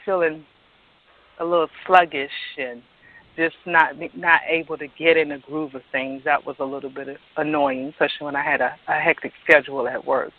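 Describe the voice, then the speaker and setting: Slightly hoarse man on a thin phone line. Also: a little high, no room sound. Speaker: astrology show panelist. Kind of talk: casual chat. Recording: dry tinny phone line.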